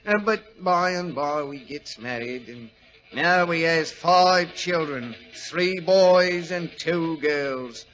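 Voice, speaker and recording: An actor playing a chatty, folksy railway guard with a working-class English accent and a sniffly nose, in 1940s radio drama style. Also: male voice, a little high, slow pace, fairly bright tone. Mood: rambling, wistful. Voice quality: sneezy, stuffed-up with a cold. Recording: muffled vintage radio broadcast with music.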